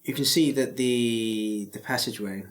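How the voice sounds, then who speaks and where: A man narrating a tabletop game as game master, describing scenes in a halting, searching way. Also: medium pitch.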